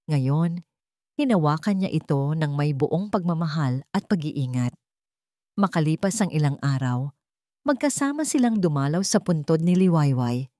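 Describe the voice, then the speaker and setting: A woman's voice: on the low side, a little mellow, no room sound, studio quality. A woman narrator reading a scripted dramatic story.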